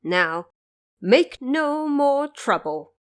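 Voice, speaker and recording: A woman acts out a solemn judge of the underworld in a fantasy audio drama. He speaks slowly and formally, with grave courtesy and quiet authority. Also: sits high, sing-song, voice pushed up, exaggerated voice. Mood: commanding.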